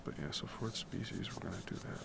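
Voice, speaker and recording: A man with a deep baritone voice, chatting casually and rambling while he works, keeping his voice quiet in the middle of the night. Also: fast. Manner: relaxed, rambling, swears casually; talks quietly to keep the noise down. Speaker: music composition streamer. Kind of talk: casual late-night talk while working. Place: home room at night. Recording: noticeable background noise with typing.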